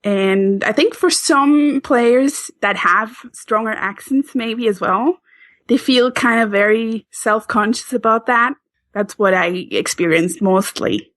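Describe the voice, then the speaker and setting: A woman's voice: a little high, takes it slowly, a bit bright, no room sound. Swiss German woman chatting, dry sound. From Switzerland. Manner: chatty, laughs often, frequent restarts. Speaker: podcast guest. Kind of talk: casual podcast chat.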